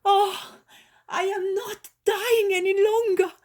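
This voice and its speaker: A woman voicing a thin, grey-haired old woman who speaks in high, wavering, breathy cries. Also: very animated, slow pace, darkish tone, heavily acted. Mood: overjoyed. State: feverish and weak at first.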